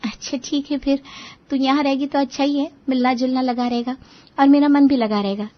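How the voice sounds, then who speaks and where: A high-voiced, friendly young woman from a cartoon moral tale, played by a voice actress. She is chatty, frank and teasing, like an old friend. The audio is slightly muffled. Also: steady tone, fairly quick, lightly acted. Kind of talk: Hindi moral-story cartoon dubbing.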